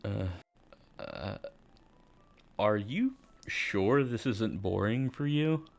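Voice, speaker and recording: A man's voice: lowish voice, expressive, very slow, gravelly, exaggerated voice. A man playing an easygoing, self-deprecating teenage schoolboy, casual and reflective, in first-person visual novel narration and dialogue. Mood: awkward, friendly.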